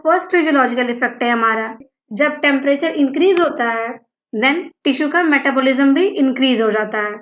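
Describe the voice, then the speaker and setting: High-pitched Indian woman narrating a lesson. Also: a little fast. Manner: mixes Hindi and English, instructional. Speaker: physiotherapy lecturer. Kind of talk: scripted educational narration. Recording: dull lo-fi audio, roomy echo.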